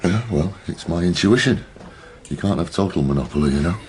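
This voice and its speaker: An actor playing a blunt, sweary, gruff middle-aged detective in a naturalistic radio drama, with a gravelly voice. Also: male voice, low voice, lively intonation, fairly quick, a little mellow. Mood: gruff, wry.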